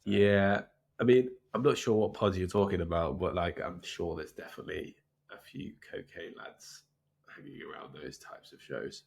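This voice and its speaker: A man's voice: sits low, steady tone, moderate pace, creaky, breathy edge. A man with a congested, nasal voice, co-hosting a casual, laid-back football podcast chat. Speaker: podcast co-host.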